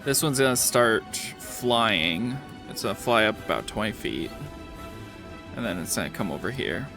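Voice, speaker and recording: A man's voice: fairly low pitch, expressive, moderate pace. A man acting as game master, narrating a tabletop roleplaying game in an informal, matter-of-fact way. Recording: music behind the voice. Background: music.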